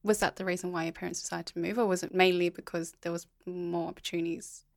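A woman's voice: on the low side, little pitch movement, fast, vocal fry. A woman hosting a podcast, presenting and asking questions in a conversational interview style. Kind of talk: scripted podcast intro, then casual interview.

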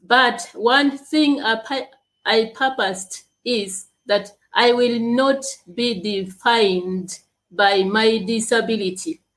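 Slow, halting woman from Uganda. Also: on the high side, fairly bright tone. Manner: slow, halting speech with long pauses, from a speech impairment. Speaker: guest, leadership coach and speaker. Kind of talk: interview answers.

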